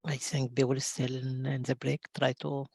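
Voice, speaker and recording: Husky-voiced man from Egypt. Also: medium pitch, level tone, darkish tone, dry recording, studio quality. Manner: brief backchannels, halting restarts. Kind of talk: casual chat.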